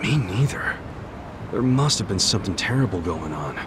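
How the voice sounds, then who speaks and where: A man's voice: fairly low pitch, sing-song, normal speed, background noise. An actor playing an earnest, protective man with a hot temper in a video game. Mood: reflective. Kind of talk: English video game cutscene dub. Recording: music behind the voice.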